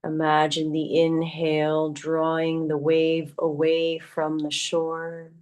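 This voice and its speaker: Very slow, soothing woman guiding meditation. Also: deep voice, completely flat delivery, lo-fi sound. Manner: soothing, gently instructive, with long pauses. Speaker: yoga teacher. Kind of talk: guided meditation, yoga nidra.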